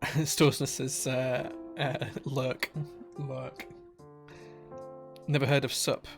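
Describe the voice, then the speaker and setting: A man's voice: medium pitch, little pitch movement, extremely slow, vocal fry, faint music behind. Man with a broad Yorkshire accent. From Yorkshire, England. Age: adult, married. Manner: friendly, chatty, many uhs and restarts. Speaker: streamer and keyboard builder. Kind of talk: casual live-stream chat, reading chat aloud. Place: his workshop.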